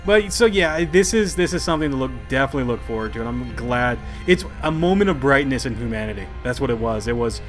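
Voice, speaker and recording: A man's voice: medium pitch, lively intonation, fast talker, few pauses, faint music behind. A man co-hosting a casual podcast, trading upbeat, joking banter. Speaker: podcast co-host.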